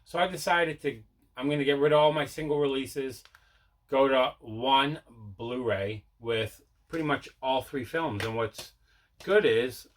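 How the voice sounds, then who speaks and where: A man's voice: medium pitch, measured pace. A man hosting a casual mail-day video about his movie collection, talking in a repetitive, enthusiastic way.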